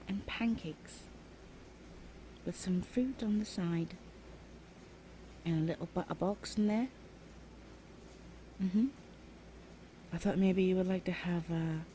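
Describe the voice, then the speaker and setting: A shy, sweet young roommate eager to please, voiced by a woman. Her voice sits a little low and sounds mellow and warm. She talks softly and hesitantly, slipping into breathy whispers. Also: a little hiss or hum, low-quality recording. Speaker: voice actor. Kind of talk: ASMR audio roleplay.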